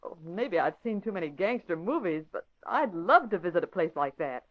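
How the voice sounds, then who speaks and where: An eager, deferential young secretary in a vintage radio play. Her pitch moves about lively, and the sound is dull. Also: moderate pace. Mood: shy, eager. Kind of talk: old-time radio drama acting.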